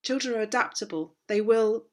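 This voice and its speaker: A woman with a slightly hoarse voice, a guest on a video call speaking with indignant passion about parenting. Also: fairly high pitch, moderate pace, a little mellow. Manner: impassioned, indignant, with many restarts. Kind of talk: conversational interview, passionate advocacy.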